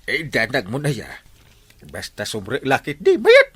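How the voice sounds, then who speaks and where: Actor playing a jolly, swooping Ilocano village glutton. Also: male voice, medium pitch, wildly swooping pitch, measured pace, fairly bright tone, slightly rough, dry recording, exaggerated voice. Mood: jolly, wheedling. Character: jolly gluttonous tipsy village man, loud clowning friend. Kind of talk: Ilocano radio comedy drama acting.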